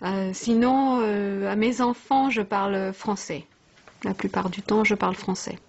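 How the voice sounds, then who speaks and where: A woman's voice: medium pitch, steady tone, a little slow, creaky, husky, dull audio. A middle-aged French woman, a mother and language teacher, chatting easily in an interview and laughing lightly as she explains. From France. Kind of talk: casual interview chat.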